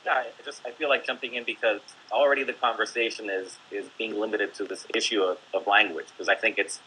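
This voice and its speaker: A man's voice: on the low side, fairly even intonation, fairly quick, pushed and bright, a little hiss or hum, rough recording. A man, a teacher, speaking as a guest on a discussion panel, explaining earnestly with many fillers.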